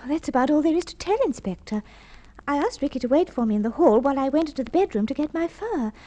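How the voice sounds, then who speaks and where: Posh aussie society lady in an old radio play, high voice, very animated, polite and plausible. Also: fast, dull audio. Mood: composed, explaining. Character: well-to-do society woman, plausible and eager, secretly a thief. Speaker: radio drama actress. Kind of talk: Golden Age radio drama acting. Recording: old radio drama recording.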